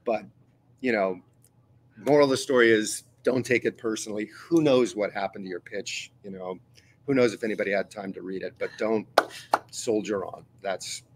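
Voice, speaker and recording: A man's voice: lowish voice, moderate pace, a little hiss or hum, low-quality recording. A middle-aged man, a magazine editor, telling an anecdote on a panel in a meeting room, full of fillers and acted-out quotes, heard from a camera across the room. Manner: storytelling, acts out quoted voices, many fillers.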